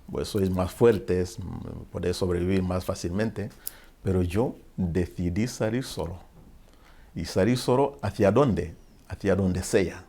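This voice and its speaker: A man in his forties from Rwanda, a priest who is an interview guest, recounting his life in a reflective way and pausing to search for words. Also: deep voice, creaky, mellow. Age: 40s.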